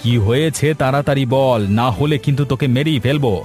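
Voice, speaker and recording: A man's voice: medium pitch, fairly quick, darkish tone, natural voice. A man voicing a stern, indignant young village man in a cartoon, with a scolding, emphatic delivery. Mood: threatening. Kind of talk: cartoon voice acting. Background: background music.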